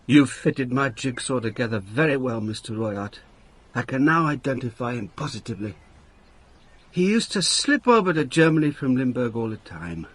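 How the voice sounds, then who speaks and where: Dogged male police detective for radio drama: dry, wry, husky, world-weary. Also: mid-range voice, very animated, moderate pace. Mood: dry, matter-of-fact. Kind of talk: British radio drama narration and dialogue.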